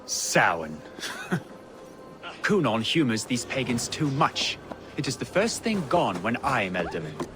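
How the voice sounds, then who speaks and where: Pious medieval priest, animated intonation. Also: male voice, mid-range voice, takes it slowly, long pauses, over music. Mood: disdainful. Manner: solemn, preachy, dryly sardonic. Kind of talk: dramatic medieval video game dialogue.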